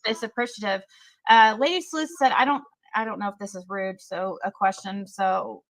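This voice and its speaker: A woman's voice: medium pitch, steady tone, normal speed, low-quality recording. Hesitant woman hosting a livestream. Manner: hesitant, filler-heavy, reads chat while talking. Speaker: livestream host.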